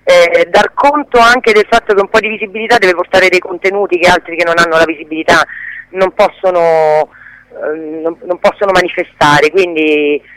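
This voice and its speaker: An earnest woman, interviewed on radio. She feels her way through her sentences, often pausing and repeating words. The sound is dry, with no room around her. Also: on the low side, fairly even intonation, fairly bright tone, dry recording, rough recording. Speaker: guest, actress and activist. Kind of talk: live radio phone interview.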